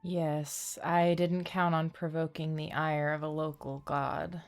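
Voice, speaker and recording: Woman as stoic young male game traveller, slightly low, deadpan, measured, reserved. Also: soft background music. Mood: reserved, dry. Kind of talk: reading video game dialogue aloud.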